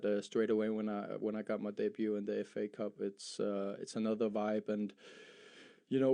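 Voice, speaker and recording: A young Danish footballer with Danish as his first language, answering interview questions in a halting way, with many fillers and repeated words. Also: lowish voice, dark tone, clear, resonant tone. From Denmark. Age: young adult. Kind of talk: post-match style interview.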